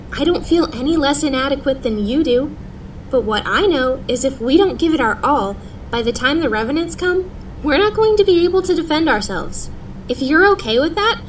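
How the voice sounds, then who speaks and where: A woman plays a reluctant young mage leader in an audio drama, firm and scolding. Her voice is fairly high and a little distant, with faint noise behind it. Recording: distant, faintly noisy recording.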